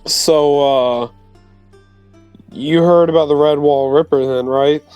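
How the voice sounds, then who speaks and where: A man's voice: fairly high pitch, fairly even intonation, slow, a little mellow, clear, resonant tone. A man playing a tabletop roleplaying game, talking in a casual, joking roleplay style. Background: music.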